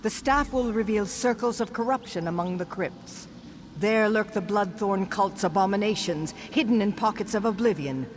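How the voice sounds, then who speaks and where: A woman's voice: medium pitch, moderate pace, a little hiss or hum, natural voice. An actress playing a commanding female spirit who guides a hero in a fantasy game, speaking in a solemn, formal way. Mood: solemn urging.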